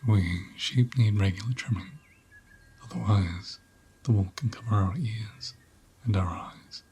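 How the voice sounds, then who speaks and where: A man playing a gentle, caring ram in an ASMR roleplay, speaking in a soft, breathy half-whisper with a soothing, tender manner. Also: lowish voice, measured pace, full character voice. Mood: soothing, reassuring. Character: gentle, caring male ram tending an injured lamb.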